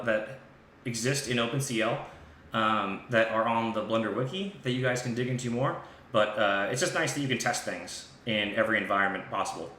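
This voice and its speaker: A man hosting a live software tutorial, talking informally and thinking aloud as he demonstrates on screen. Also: medium pitch. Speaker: live stream host and software instructor. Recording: echoey room with faint background noise.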